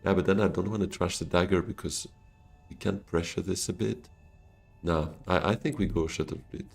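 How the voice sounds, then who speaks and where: A man's voice: sits low, normal speed, vocal fry, slightly rough, faint music behind. A Swiss man, streaming a board game live and thinking his moves through aloud to himself and his chat. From Switzerland. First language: French.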